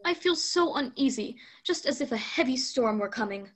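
A woman's voice: high voice, animated, normal speed. A woman playing an uneasy, guilt-ridden mother in a group reading of a fairy tale, speaking her lines anxiously. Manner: anxious, fretful delivery. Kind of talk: amateur group read-aloud of a fairy tale.

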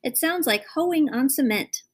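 Woman doing a fussy bossy little girl, high and dramatic, storybook voice. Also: animated, a little slow. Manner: dramatic, exclaiming.

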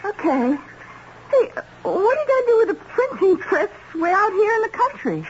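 A woman's voice: very high-pitched, sing-song, normal speed. An actress playing a sweet, naive young woman in a radio drama, chattering in a hesitant, girlish way. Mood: innocent, curious. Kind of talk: 1970s radio drama acting. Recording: dull, noisy old radio recording.

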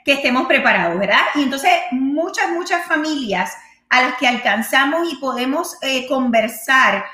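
A woman's voice: high-pitched, lively intonation, moderate pace, sharp tone, reverberant. A Puerto Rican woman hosting a live show as a real estate consultant, chatty, mixing in English and often checking in with "¿ok?". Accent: Puerto Rican Spanish. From Puerto Rico. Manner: chatty, switches into English, keeps asking "¿ok?". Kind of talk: live-streamed call-in style talk.

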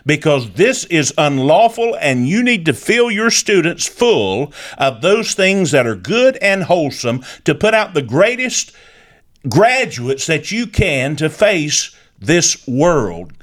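A man's voice: on the high side, sing-song, takes it slowly, a bit bright. A man delivering impassioned political commentary, indignant and scolding, hammering home repeated phrases. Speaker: talk show commentator.

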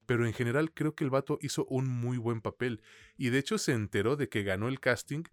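A man's voice: mid-range voice, brisk, no room sound. A man with a Mexican accent giving a conversational film review as a podcast co-host, in informal, slangy language. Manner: informal, slangy, peppered with swearing.

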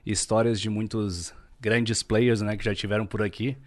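A Brazilian man talking casually as a podcast guest, unhurried and sometimes searching for his words. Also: on the low side, little pitch movement. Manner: unhurried, searches for words, frequent tag questions. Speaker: podcast guest, real estate broker. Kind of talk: casual interview chat.

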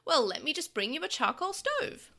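A woman's voice: sits high, huge pitch swings, moderate pace, vocal fry, pushed and bright, pitched above the performer's own voice, heavily acted. A woman voicing a small scheming male devil creature, a sly liar who ends up sneering and gloating. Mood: sweetly scheming.

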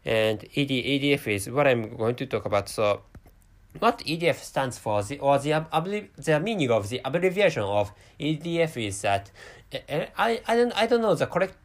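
Stuttering Japanese-accented man, lively pitch. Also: brisk. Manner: stuttering, many restarts. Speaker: podcast host. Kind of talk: solo podcast monologue.

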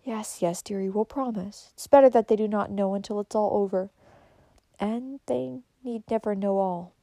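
Storybook role: motherly elderly nurse, devout and soothing, voiced by a female narrator, mellow, close dry sound. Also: mid-range voice, normal speed, no echo, natural voice. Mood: sad, hushed. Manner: gentle, soothing.

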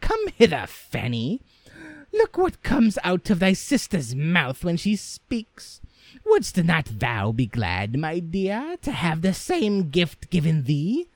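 A man voicing a harsh, doting mother from an old fairy tale, raising his voice high and shrill as she scolds. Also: wildly swooping pitch, slow, dry recording, full character voice. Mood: coaxing.

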